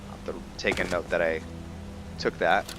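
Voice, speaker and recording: A man giving casual, somewhat halting commentary over gameplay as the host of a gaming video. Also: low-pitched, flat delivery, background noise.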